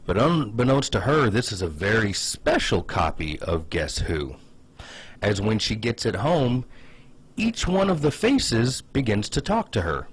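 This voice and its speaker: A man hosting a casual comedic commentary video, pitching ideas in a wry, sarcastic, opinionated way. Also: husky.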